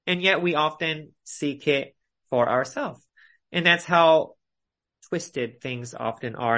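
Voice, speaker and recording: A man's voice: mid-range voice, bright, forceful tone. Man teaching an earnest Bible study. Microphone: online video call.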